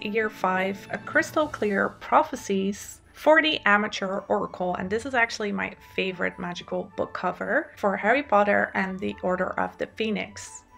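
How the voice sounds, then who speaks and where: A woman's voice: medium pitch, gravelly, fairly bright tone. A woman in her thirties with a Dutch accent, a native Dutch speaker, presenting casually and enthusiastically as a video host. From Netherlands. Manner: chatty, enthusiastic. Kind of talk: casual presentation to camera and voice-over.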